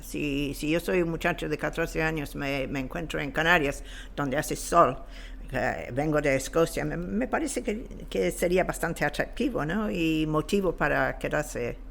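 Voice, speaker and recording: A man giving an informal historical explanation as an interview guest, halting and searching for his words. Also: fairly high pitch, creaky, a bit bright, a little hiss or hum.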